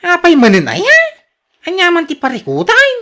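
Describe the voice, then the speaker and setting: A woman's voice: very high voice, huge pitch swings, normal speed, sharp tone, exaggerated voice. A woman with an Ilocano accent playing an exasperated, defensive working husband in a radio drama, full of protest. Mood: irritated.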